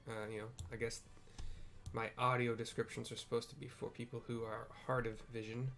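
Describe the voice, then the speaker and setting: A man's voice: medium pitch, flat delivery, fairly quick, vocal fry, a bit bright, faint music behind. A man hosting a game playthrough with casual, improvised scene descriptions, rambling and self-deprecating as he searches for words. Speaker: host of a game playthrough video.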